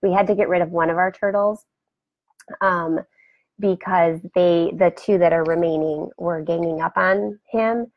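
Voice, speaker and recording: Woman with a scratchy, gravelly voice. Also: on the low side, steady tone, unhurried, no room sound, highs cut off. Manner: chatty, rambling, greets viewers by name. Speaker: host. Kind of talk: casual live-stream chat. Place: home room beside a turtle aquarium. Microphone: webcam for a live video.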